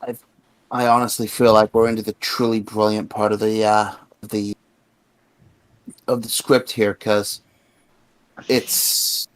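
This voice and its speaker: A man giving casual commentary on a livestream in a slow, halting way. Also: lowish voice, level tone, dry recording, low-quality recording.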